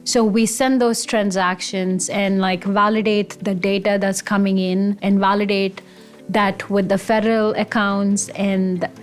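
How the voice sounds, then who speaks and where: A woman's voice: mid-range voice, little pitch movement, a little slow, faint music behind. A woman in her thirties from India, an automation engineer, talking about her career in an enthusiastic, conversational way. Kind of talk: career interview monologue.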